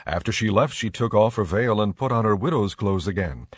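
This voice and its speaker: A man narrates scripture from a script in a measured, solemn way. His voice is fairly low, and the sound is dry and close. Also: expressive, husky, no room sound.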